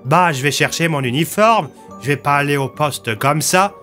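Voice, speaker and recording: A man's voice: on the high side, lively intonation, normal speed, sharp tone, soft background music. A man voicing a naive, dim-witted, chatty police sergeant in an audio story, hesitant and guileless. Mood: cheerful, oblivious.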